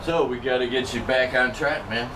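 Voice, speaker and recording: A man's voice: medium pitch, moderate pace. A man from upstate New York telling a long, rambling personal story in casual chat. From Norwich, New York. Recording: distant mic with steady background noise.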